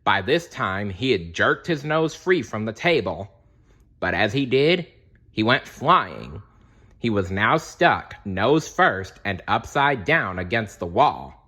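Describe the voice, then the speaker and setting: Animated, slow-paced man reading a storybook. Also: lowish voice, slightly rough. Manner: dramatic, explains asides to young listeners. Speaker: storybook reader. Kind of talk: animated children's read-aloud narration.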